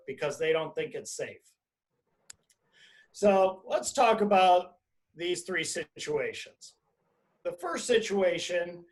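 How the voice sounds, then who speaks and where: A man giving an explanatory webinar presentation as an attorney, speaking deliberately and emphatically in short phrases over a video call. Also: high-pitched. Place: indoors, on a video conference call.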